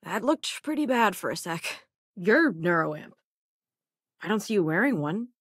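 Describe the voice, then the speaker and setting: An actress voicing a cynical, dry-witted spacefaring hacker in a video game, with a sardonic, conversational manner. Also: female voice, medium pitch, sing-song, measured pace, a bit bright, husky, dry recording, heavily acted. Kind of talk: video game companion voice lines.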